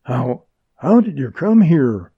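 Man as an astonished gentleman, voice pitched up. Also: sing-song, slow pace, husky, dry recording, narrow, tinny audio, heavily acted. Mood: pleading. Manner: exclaiming, pleading. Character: astonished, earnest, dazed gentleman captive.

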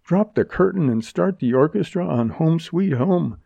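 A man's voice: on the high side, lively intonation, moderate pace, vocal fry, warm tone, no echo, dull audio, pitched above the performer's own voice. A man voicing a brassy, defiant young woman who talks in a sneering, sarcastic way in a storybook. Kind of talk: dramatized audiobook dialogue.

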